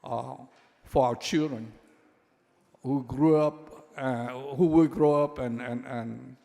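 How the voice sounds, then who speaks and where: Slow-speaking man in a reverberant chamber. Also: medium pitch, fairly even intonation, vocal fry, mellow. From Vietnam. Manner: slow, halting, repeats words. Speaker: state representative. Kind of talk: floor debate questioning. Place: large legislative chamber.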